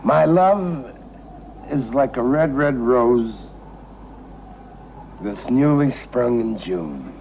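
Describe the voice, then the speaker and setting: An actor playing a boastful, sentimental and tipsy American gambler in a television drama, rambling and repeating himself with emphasis. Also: male voice, mid-range voice, lively intonation, very slow, long pauses, darkish tone. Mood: dreamy, sentimental. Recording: noisy old television drama soundtrack.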